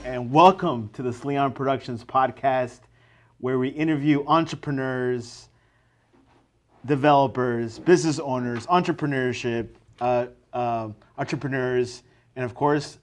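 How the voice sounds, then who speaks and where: A man hosting a podcast, introducing his guest in a halting way with many stops and fillers. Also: mid-range voice, fairly even intonation, faint music behind. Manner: halting, full of fillers and restarts. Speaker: podcast host. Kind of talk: casual interview.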